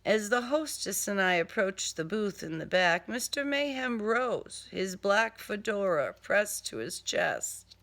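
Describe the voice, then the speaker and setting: A woman narrating a first-person crime story in a deliberate, dramatic, sardonic manner. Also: medium pitch, big pitch swings, fairly bright tone. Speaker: author reading own crime novel.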